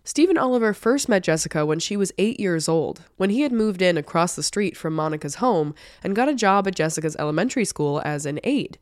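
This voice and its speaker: A woman hosts a true crime podcast while fighting a cold, and her voice sounds congested. She talks in a casual, chatty way and sits fairly low in her range. The sound is dry and close, with no room around it. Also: lively intonation, fairly quick, hardly any pauses, no echo. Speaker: podcast host.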